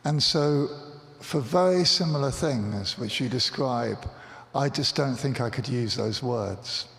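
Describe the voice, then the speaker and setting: Man questioner, gravelly, hesitant and thoughtful, long church-hall reverb. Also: mid-range voice, slow, a bit bright. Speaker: audience questioner.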